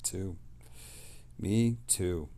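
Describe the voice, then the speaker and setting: Low, gravelly man chatting on stream. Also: very slow, dark tone. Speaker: livestream host reading chat messages. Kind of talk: casual livestream chat, reading messages aloud.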